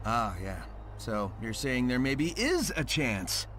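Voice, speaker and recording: A man's voice: medium pitch, big pitch swings, slow, a bit bright, a little hiss or hum. A man voicing a cocky, upbeat young hedgehog hero in a video game, with an American accent and a breezy, casual delivery. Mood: upbeat, determined. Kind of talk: video game cutscene voice acting.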